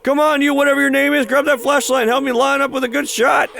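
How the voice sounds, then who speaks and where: A man's voice: very high voice, little pitch movement, fast, creaky, pushed and bright, slight background noise, pitched above the performer's own voice, exaggerated voice. A man voicing an irate, grieving rural chicken farmer in a game read-aloud, exclaiming in folksy speech. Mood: furious, vengeful.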